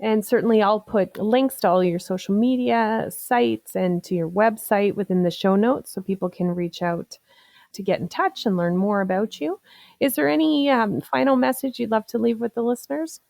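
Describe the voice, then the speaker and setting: A woman's voice: mid-range voice, normal speed, creaky, a little mellow, dry recording, clean studio sound. A woman hosting a podcast interview who encourages her guest and sums up the guest's points. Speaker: podcast host.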